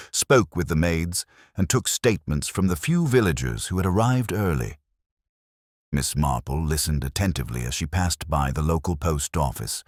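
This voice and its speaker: A man narrating a scripted mystery story as an audiobook, in a measured, formal storytelling manner. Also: low voice, dry recording, clean studio sound.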